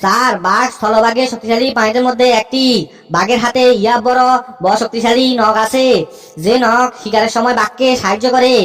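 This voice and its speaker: A woman's voice: on the high side, fairly even intonation, brisk, fairly bright tone, faint music behind, lightly acted. A woman voicing a cheeky, boastful cartoon schoolboy who recites in a rote, sing-song way. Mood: confident reciting. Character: cheeky schoolboy reciting essays with cocky confidence.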